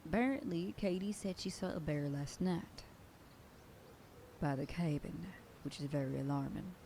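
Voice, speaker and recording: A woman's voice: low voice, normal speed, mellow, a little hiss or hum. A woman voicing a bossy female werewolf sheriff who lectures her pack in an ASMR roleplay, sometimes dropping to a whisper. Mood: stern briefing. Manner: stern, commanding, lectures a group. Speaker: voice actor.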